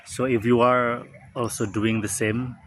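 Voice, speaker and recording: A man in his forties whose first language is Indonesian, speaking slowly and reflectively with many pauses and fillers, outdoors under a tree. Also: mid-range voice, level tone, poor audio. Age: 40s. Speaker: vlogger. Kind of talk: reflective talk to camera. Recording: clear outdoor background noise. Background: birds, traffic and outdoor ambience.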